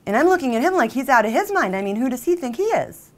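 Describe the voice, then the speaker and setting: A woman playing a jilted, obsessive character who confesses in a halting, conversational dramatic monologue, with volatile emotion. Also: high voice, very animated. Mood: indignant, agitated. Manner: halting, emotionally volatile, mimics others' exclamations. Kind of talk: dramatic monologue, conversational first-person storytelling.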